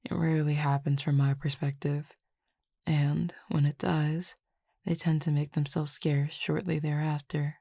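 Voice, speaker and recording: A woman playing a calm, teasing Cupid roommate with formal, measured speech in an intimate roleplay audio drama. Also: low voice, creaky, slightly rough, no room sound, studio quality, exaggerated voice. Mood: quietly pleased.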